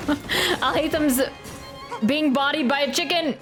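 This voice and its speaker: A woman's voice: high-pitched, unhurried. A young woman reacting casually as she streams a game playthrough into a close mic. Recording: game music behind the voice.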